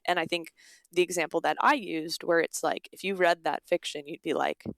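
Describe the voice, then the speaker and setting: A woman's voice: fairly low pitch, fairly even intonation, a little fast, gravelly, a bit bright, no echo. A young woman speaking casually as an interview guest, informal and peppered with "like" and quoted asides. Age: young adult. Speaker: guest, author.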